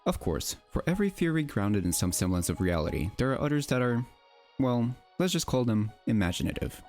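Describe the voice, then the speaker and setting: A man's voice: mid-range voice, darkish tone. A man delivering scripted documentary narration in a wry, theatrical manner, with rhetorical asides. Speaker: narrator of a mystery documentary channel.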